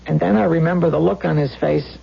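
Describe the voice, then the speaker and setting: Anxious, husky-voiced policeman. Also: fairly high pitch, steady tone, exaggerated voice. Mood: haunted, intense. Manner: halting, stammering, intense. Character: anxious, haunted male policeman confiding in wife. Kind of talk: 1970s radio drama acting. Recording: dull vintage radio recording.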